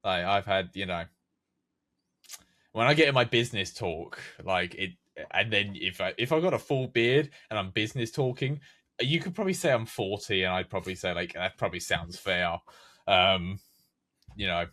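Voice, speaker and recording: A man's voice: sits low, moderate pace, sharp tone. A man of nineteen, a podcast guest who creates game maps, chatting casually and rambling with many fillers and restarts. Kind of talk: casual chat.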